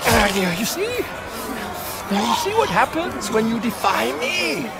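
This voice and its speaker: An actor playing an arrogant, cruel tyrant who claims godhood, with an imperious, theatrical, bellowing delivery in a game cutscene. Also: male voice, extremely high pitch, very animated, measured pace, loud music behind the voice, over a noisy crowd, exaggerated voice. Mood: enraged, menacing. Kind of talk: dramatic video game cutscene acting.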